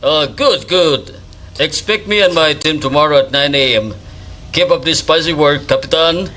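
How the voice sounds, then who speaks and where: An actor with a Filipino accent playing a pompous, self-important government director in a radio comedy. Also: male voice, a little high, a little slow, sharp tone. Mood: pleased, officious. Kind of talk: slapstick satirical radio comedy acting.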